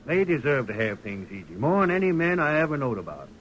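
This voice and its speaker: A man's voice: mid-range voice, sing-song, fast, fairly bright tone. An actor playing a blunt American frontier townsman with folksy, emphatic speech in a 1930s radio Western. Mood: fond, gruff. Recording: noisy 1930s radio recording.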